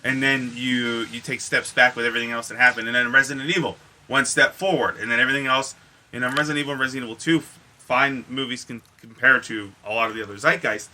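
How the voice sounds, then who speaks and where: A man's voice: mid-range voice, fairly even intonation, quick pace, pushed and bright, a little hiss or hum. A man co-hosting a podcast in casual conversation, talking in an emphatic, blunt way with some swearing. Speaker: podcast co-host. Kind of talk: casual chat about movies and games.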